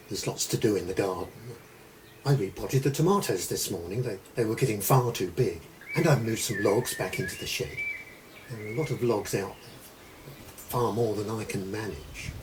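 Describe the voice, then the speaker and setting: Actor as lonely old British grandfather, low, distant. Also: lightly acted. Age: older. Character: lonely elderly widowed grandfather, stoical and housebound. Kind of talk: spoken diary monologue in a short film. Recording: distant mic, faint background noise.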